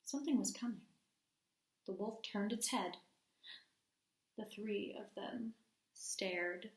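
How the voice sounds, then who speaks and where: A woman teacher reading a storybook aloud to children, expressively, pausing to put questions to them. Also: mid-range voice. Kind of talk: storybook read-aloud with class discussion. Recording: echoey room.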